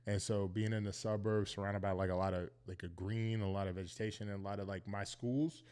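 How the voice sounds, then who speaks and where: A man's voice: on the low side, steady tone, fairly quick, darkish tone, clear, resonant tone. A young man from Northern Virginia, a guest on a podcast, talking in an earnest, reflective way and often checking in with "you know what I mean.". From Northern Virginia, United States. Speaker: podcast guest, professional football player. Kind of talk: casual interview chat.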